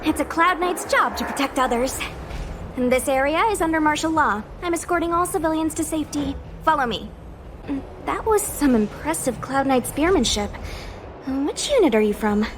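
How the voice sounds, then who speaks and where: A woman voicing an eager, bossy young female knight in an anime-style game, brisk and a little scatterbrained. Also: high voice, lively intonation, a little hiss or hum. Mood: officious, flustered. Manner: brisk, assertive, informal.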